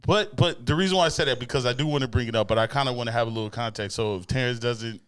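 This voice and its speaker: A man's voice: medium pitch, racing, a bit bright, clean tone, no room sound. A man hosting a casual chat interview in a laid-back, slangy way with some easy swearing. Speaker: interview show host.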